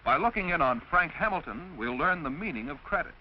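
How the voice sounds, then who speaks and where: A man's voice: fairly high pitch, lively intonation, brisk, dull audio, a little hiss or hum. An American man narrating a scripted 1940s instructional film in a didactic, carefully enunciated way, on an old film soundtrack.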